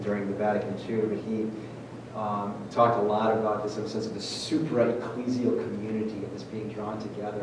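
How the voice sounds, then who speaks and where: A man, a priest, talking casually to his parish and answering questions, full of "like" and "I mean". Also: lowish voice. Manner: animated, filler-heavy, lots of "like". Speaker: priest giving a parish talk. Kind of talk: casual talk and Q&A. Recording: echoey church hall, distant mic, noisy.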